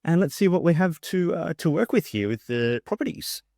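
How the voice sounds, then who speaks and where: A man narrating an instructional software tutorial, explaining things step by step as the presenter. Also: fairly high pitch, expressive, brisk, no echo, clean studio sound. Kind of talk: instructional screen-share narration.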